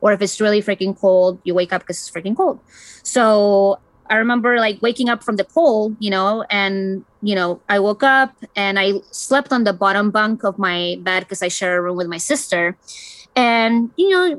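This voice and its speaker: A woman's voice: medium pitch, no room sound. A woman in her thirties from Chile, telling a personal story in a casual, chatty way with many fillers. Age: 30s. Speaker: guest.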